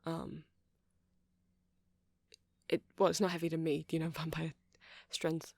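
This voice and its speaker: A man's voice: a little high, completely flat delivery, a little slow, creaky, no room sound. A man playing a shy, earnest, nerdy vampire in an ASMR roleplay, speaking in a hesitant, rambling, stammering way. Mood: shy, nervous. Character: shy, earnest, nerdy male vampire running a music shop.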